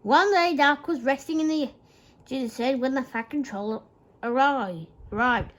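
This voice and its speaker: A woman reading a children's bedtime story aloud, haltingly, stumbling and repeating words as she goes. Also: high voice.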